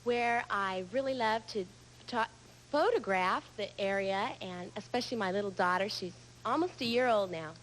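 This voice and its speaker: A woman's voice: medium pitch, normal speed, a little hiss or hum, low-quality recording. A young woman from Northern California, a game show contestant who often restarts her sentences. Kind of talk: casual chat and answering questions.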